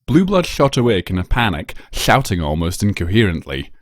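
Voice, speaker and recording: Male story narrator: low-pitched, measured and dramatic, recorded close and dry. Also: big pitch swings, no echo. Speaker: narrator, fan fiction reader.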